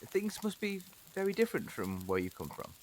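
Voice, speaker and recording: An actor playing a mild seventeenth-century village priest in an audio drama, speaking formally and haltingly. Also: male voice, sits high, very animated, darkish tone. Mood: flustered.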